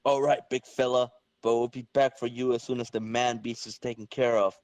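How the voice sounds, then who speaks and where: A man's voice: mid-range voice, little pitch movement, a little fast, darkish tone, voice pushed down, lightly acted. A man playing a loyal, determined superhero who makes earnest heroic promises in an amateur comic-book reading.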